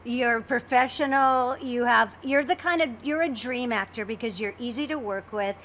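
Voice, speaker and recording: A woman co-hosting a casual podcast interview, excitable and gushing, often repeating herself. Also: on the high side, normal speed, a little hiss or hum. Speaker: podcast co-host.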